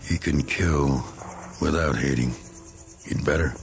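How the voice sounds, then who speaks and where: An actor playing a cynical, hardened American war veteran in a radio drama, gruff and sardonic. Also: male voice, rumbling low, a little slow, exaggerated voice. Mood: grim. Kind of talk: dramatic radio play acting.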